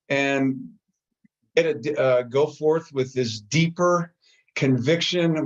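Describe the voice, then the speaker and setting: A man giving casual, halting closing remarks as a guest in an online presentation. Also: mid-range voice, muffled recording. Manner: casual, hesitant with fillers.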